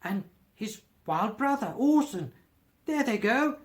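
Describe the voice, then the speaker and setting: Lady doing old miser guy all worked up over memories, high loud voice, half laughing half crying. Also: a little slow. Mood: excited nostalgia. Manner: earnest, between laughing and crying. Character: old miser Scrooge, excited and tearful at boyhood memories.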